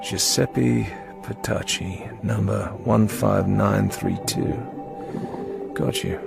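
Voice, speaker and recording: An actor playing a terse secret agent on a solo mission, muttering to himself in clipped phrases in a radio drama. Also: male voice, fairly low pitch, steady tone, takes it slowly, creaky, over loud music, exaggerated voice. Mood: tense, focused. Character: terse male secret agent working alone underwater.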